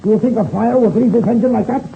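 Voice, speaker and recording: Proud, suspicious Russian aviator, high creaky voice, emphatic broken English, vintage radio drama. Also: male voice, fast. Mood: defensive, indignant. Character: defensive foreign air-race pilot, proud and suspicious. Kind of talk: 1930s radio serial acting. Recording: muffled, noisy old-time radio recording.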